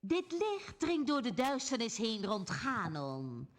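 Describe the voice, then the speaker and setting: A calm, commanding young princess speaks from an old game cutscene in a high, swooping voice. She draws each word out slowly, and the sound comes from far off through the stream. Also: full character voice. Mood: solemn. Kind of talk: dubbed game cutscene dialogue.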